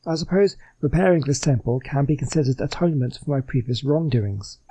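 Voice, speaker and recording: A man's voice: medium pitch, fairly quick, warm tone, slightly rough. A man voicing an earnest, polite reformed swindler from a video game, reading the dialogue in a wordy, scholarly way.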